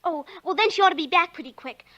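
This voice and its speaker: High, bright-voiced plucky little girl. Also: lively intonation, quick pace, highs cut off. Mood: innocent, probing. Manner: artless, earnest, persistent. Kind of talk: 1940s radio suspense acting and narration.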